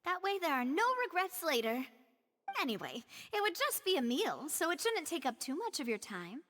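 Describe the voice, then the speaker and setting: High-voiced, genteel young lady boss. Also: moderate pace, big-room sound. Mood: cheerful. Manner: polite, flowery, expressive phrasing. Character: genteel young woman boss, friendly, wistful, theatrical. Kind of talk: anime video game voice acting.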